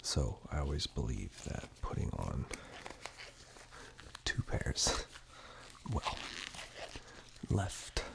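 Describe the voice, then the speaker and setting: A man doing soft-spoken, half-whispered ASMR roleplay, reassuring and unhurried, speaking directly to the listener close to a binaural microphone. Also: big pitch swings. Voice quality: breathy whisper mixed with very deep, gravelly murmur. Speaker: ASMR performer playing caregiver and eye examiner roles.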